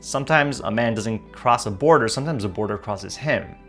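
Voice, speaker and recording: A man's voice: mid-range voice, normal speed, fairly bright tone, faint music behind. A man hosting a podcast over a video call, narrating a dramatic intro and then chatting hesitantly with many fillers. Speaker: podcast host. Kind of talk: scripted narration then casual interview.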